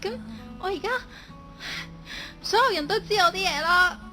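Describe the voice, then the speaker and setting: A woman's voice: very high-pitched, moderate pace, sharp tone, faint music behind. An actress playing a timid, lovelorn young female office worker in a radio drama, speaking hesitantly. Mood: distraught, crying. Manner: hesitant, stammering when upset. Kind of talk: Cantonese online radio drama acting.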